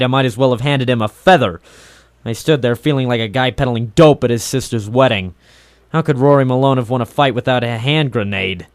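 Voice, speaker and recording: An actor playing a hard-boiled, wisecracking private eye in a 1940s radio noir, cynical, clipped and sardonic. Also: male voice, mid-range voice, no room sound, clean studio sound, close to an everyday voice.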